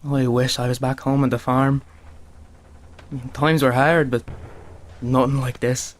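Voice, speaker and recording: An actor playing a weary, anxious young Irish soldier of 1916 in a radio play. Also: male voice, mid-range voice, little pitch movement, measured pace, natural voice. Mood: weary, homesick.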